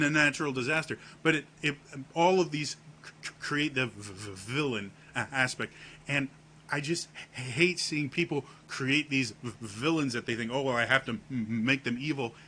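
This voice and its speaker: A man talking casually to camera on his vlog, with a deep voice and a heavy stutter that repeats the first sounds of words. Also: bright, forceful tone, a little hiss or hum. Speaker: vlogger.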